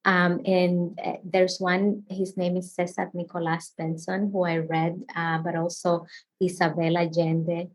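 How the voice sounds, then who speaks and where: A woman's voice: fairly low pitch, measured pace. Chatty woman. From Dominican Republic. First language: Spanish. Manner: chatty and expressive, laughs often. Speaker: author guest. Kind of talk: casual interview answers.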